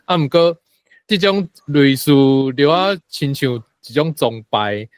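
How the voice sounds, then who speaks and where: A man's voice: fairly high pitch, animated, takes it slowly, clean tone, no room sound. Taiwanese man in a dry, close discussion. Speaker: guest panelist. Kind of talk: conversational literary discussion.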